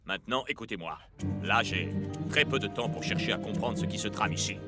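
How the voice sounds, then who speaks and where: A man playing a gruff, determined football coach in a French cartoon dub. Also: lowish voice, a little fast, loud music behind the voice, lightly acted. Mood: suspicious, determined.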